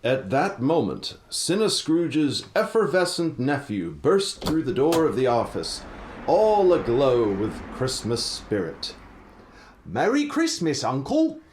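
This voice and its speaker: A man delivers dramatic storybook narration for a radio play. He takes it slowly, with a mid-range voice that rises and falls expressively. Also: medium pitch, very animated, a little mellow, a little hiss or hum.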